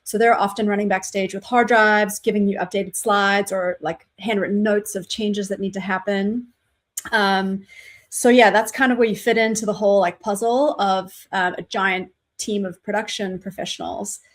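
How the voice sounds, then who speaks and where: A woman's voice: medium pitch, fairly even intonation, moderate pace. A woman from South Africa with a South African accent, a podcast guest explaining her work in a casual, explanatory way with many fillers. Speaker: guest, graphics operator. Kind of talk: casual interview answers.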